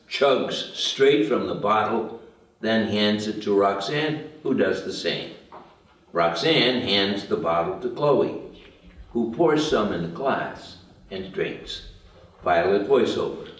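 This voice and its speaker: A man reads out screenplay stage directions at a table read in a measured, matter-of-fact way. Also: on the low side, animated, a bit bright. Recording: very echoey room, distant mic.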